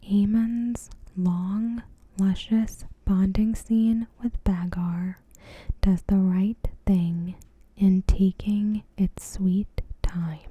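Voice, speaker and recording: Woman whispering breathy, slow ASMR. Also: mid-range voice, fairly even intonation, no room sound. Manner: soft, slow, deliberate delivery. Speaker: ASMR host. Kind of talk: whispered ASMR, reading a TV episode review.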